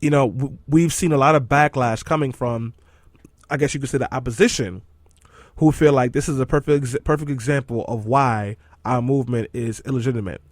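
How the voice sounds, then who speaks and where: A man with a hoarse voice co-hosting a talk radio show in a studio, joking with his co-host and then speaking seriously about the news. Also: medium pitch, brisk, no room sound. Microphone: studio microphone.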